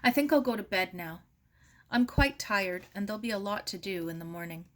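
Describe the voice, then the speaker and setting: A woman voicing a grieving young woman in a storybook, with a high, thin voice and brittle, polite calm. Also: fairly quick, close to an everyday voice. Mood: controlled grief. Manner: polite, restrained, fragile composure. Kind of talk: storybook read-aloud.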